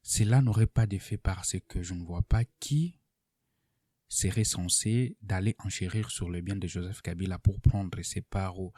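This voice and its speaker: Male political analyst for online video: very low, warm voice, unscripted and deliberate, pausing as he explains. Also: no room sound, clean studio sound.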